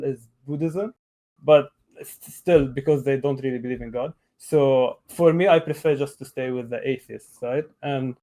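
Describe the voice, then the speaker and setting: A man in his early thirties with a soft, mellow tone and a medium pitch. He talks conversationally as a guest in a debate, hesitating and pausing as he puts his thoughts together. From Lebanon. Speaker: guest caller, atheist debater. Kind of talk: conversational debate on a live call.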